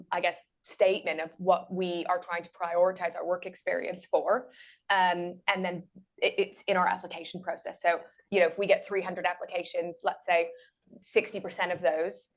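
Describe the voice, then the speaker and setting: Flat-voiced woman panelist. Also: lowish voice, moderate pace. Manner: chatty, filler-heavy. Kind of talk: answering audience questions. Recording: slightly dull conference audio.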